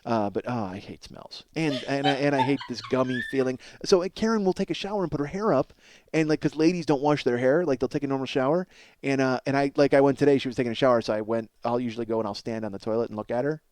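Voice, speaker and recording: A man's voice: medium pitch, fast talker, background noise. A man hosting a comedy podcast in a rambling, self-mocking monologue, ranting with profane exasperation.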